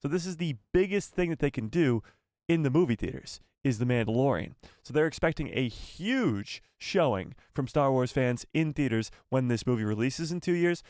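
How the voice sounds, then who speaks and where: A man's voice: medium pitch, animated, normal speed, a little mellow, no echo, studio quality. A man hosting a live fan news stream, reading news and adding rambling, self-correcting asides to his viewers. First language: not Spanish. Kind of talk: casual live news chat.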